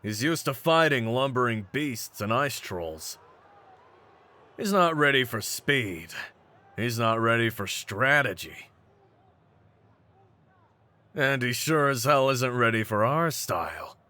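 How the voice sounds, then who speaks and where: Casting: male actor for cocky brawler champion, deep voice, streetwise slang, mocking confidence, in-character roleplay audio. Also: lively intonation, slow, pauses often, pushed and bright, dry recording. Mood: mocking, assured. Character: cocky, brawny half-breed pit-fighting champion, hyping his partner.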